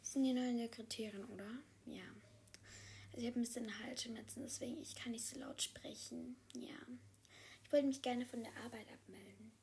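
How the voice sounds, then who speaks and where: A sick young apprentice, played by a woman, speaks in a hoarse, half-whispered voice. She is shy and apologetic and searches for her words with a slightly high pitch. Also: steady tone, brisk. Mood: sheepish. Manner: quiet, half-whispered, hesitant.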